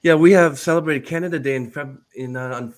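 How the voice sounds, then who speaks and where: Chatty male podcast host. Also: fairly high pitch. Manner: chatty, halting, loose phrasing. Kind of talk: casual interview questions.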